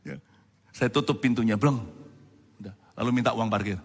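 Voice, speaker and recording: A pastor in his forties from Indonesia, preaching a sermon with animated storytelling and conversational asides to the congregation. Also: fairly low pitch, normal speed, breathy edge. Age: 40s. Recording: long hall reverb.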